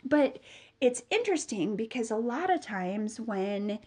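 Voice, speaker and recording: A woman's voice: a little high, very animated, a little slow, warm tone. A woman in her thirties hosting a solo business podcast, chatty and upbeat with many fillers, sounding a bit nasal from a cold. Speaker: podcast host and business mentor.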